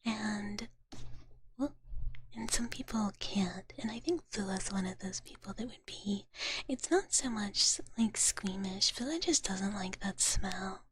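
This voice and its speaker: A woman livestreaming ASMR in a breathy whisper, chatting gently and playfully with her viewers, close to the mic. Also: mid-range voice, takes it slowly. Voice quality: breathy, mostly unvoiced whisper. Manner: gentle, playful, speaks of herself in third person. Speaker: livestream ASMR host in a virtual dragon big-sister persona.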